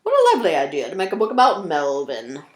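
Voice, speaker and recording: A woman's voice: lowish voice, huge pitch swings, quick pace, roomy sound, slight background noise. A woman voicing a kindly old Santa Claus in a storybook, genial and fondly reminiscing.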